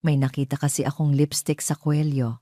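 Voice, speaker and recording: A woman voicing an anxious, halting wife in an audio drama. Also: low-pitched, level tone, dark tone, no room sound, studio quality, lightly acted. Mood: nervous. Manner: hesitant, halting. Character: anxious, hesitant wife who suspects betrayal.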